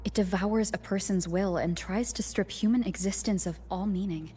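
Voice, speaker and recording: Actress as a thoughtful wandering heroine, echoing. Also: female voice, medium pitch, normal speed, dark tone, natural voice. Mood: earnest, reflective. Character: female wandering hero, thoughtful, earnest, gentle, young adult. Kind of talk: video game voice acting, letter read as narration. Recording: echoey, long reverb, music behind.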